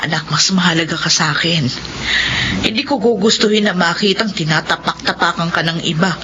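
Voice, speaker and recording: Gentle ailing older mother, played by a woman, noisy recording. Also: on the low side, brisk, lightly acted. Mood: tender, grateful. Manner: gentle, heartfelt. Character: loving, ailing older mother, protective and grateful. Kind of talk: Filipino radio drama acting. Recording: dull lo-fi radio audio, noisy ambience.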